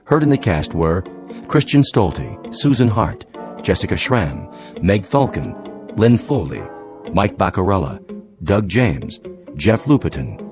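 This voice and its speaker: A man's voice: low-pitched, very animated, unhurried, over music. A man announcing, reading formal scripted credits.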